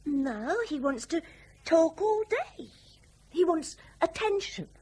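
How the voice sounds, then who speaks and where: A woman's voice: extremely high pitch, big pitch swings, slow, dark tone, heavily acted. An actress in a radio drama playing a bitter, trapped wife and mother, fed up and scornful.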